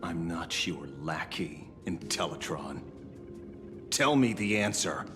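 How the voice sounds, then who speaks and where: A low-voiced, weary investigator speaks slowly and deliberately for a video game. He is proud, sardonic and vengeful, with music playing behind him. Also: animated. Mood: hard, demanding. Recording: long reverb, music behind.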